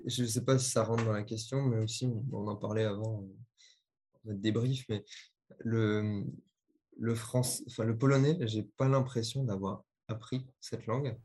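A young adult man speaking casually as an interviewee, relaxed and easygoing. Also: on the low side, normal speed, breathy edge. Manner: relaxed, casual, slightly laughing. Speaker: interviewee, son.

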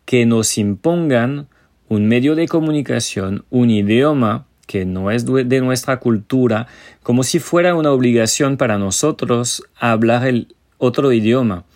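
A man's voice: medium pitch, darkish tone. A man from France, a native French speaker with a French accent, giving informal advice straight to listeners as a language teacher and podcast host. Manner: informal, explanatory, addresses listeners directly.

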